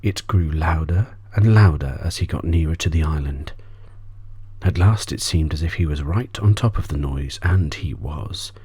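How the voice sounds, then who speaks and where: A man reads an audiobook as an ASMR bedtime story, soft-spoken and often trailing off into a whisper. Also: rumbling low, normal speed, slightly rough, dry recording. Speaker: audiobook reader.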